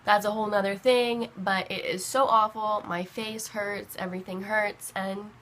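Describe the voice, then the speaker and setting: A young woman vlogger chatting casually to camera while sick with a bad cold, her voice rough, rambling with lots of fillers. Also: medium pitch, fairly even intonation, normal speed, a bit bright, a little hiss or hum. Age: young adult.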